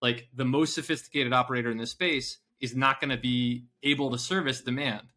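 A man's voice: mid-range voice, fairly even intonation, fairly quick, bright, forceful tone. A man co-hosting a casual investing podcast, rambling with lots of fillers and laughing often. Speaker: podcast co-host.

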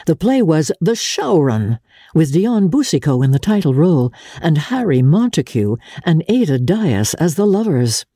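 Husky, mellow woman reading classic fiction expressively. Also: low-pitched, no room sound, clean studio sound. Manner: measured, expressive storyteller phrasing. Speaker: audiobook reader, show host.

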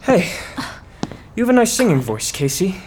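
A man's voice: sits high, big pitch swings, normal speed, heavy background noise. An actor playing an earnest, curious young male companion in an audio drama. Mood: friendly, curious.

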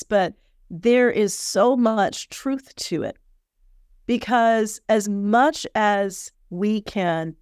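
A woman's voice: lowish voice, darkish tone, dry recording, clean studio sound. A woman hosting a motivational podcast as a personal coach, talking in a candid, earnest and encouraging way, slightly stuffy from sinus pressure.

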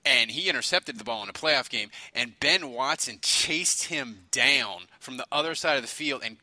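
Very bright, animated American man talking sports. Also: medium pitch, moderate pace, dry recording. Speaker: sports talk show co-host. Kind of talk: casual sports banter.

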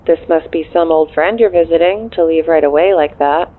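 Tinny phone-line sound, efficient female assistant, lowish voice, deadpan, dryly wry, audio drama. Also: normal speed. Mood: businesslike, concerned. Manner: deadpan, dry. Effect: phone filter. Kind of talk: naturalistic audio drama acting.

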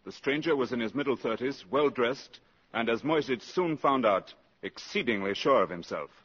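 An actor narrating a wartime spy story in measured, scripted 1950s radio style. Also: male voice, medium pitch, animated, a bit bright, breathy edge. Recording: muffled old radio recording, music behind.

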